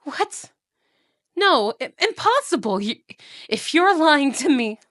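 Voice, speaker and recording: Slow-speaking, haughty evil queen played by a woman. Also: high voice, sing-song, fairly bright tone, no echo, clean studio sound, heavily acted. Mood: furious disbelief. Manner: imperious, menacing, theatrical. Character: jealous, cruel evil queen stepmother, haughty and volatile. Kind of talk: fantasy ASMR audio roleplay.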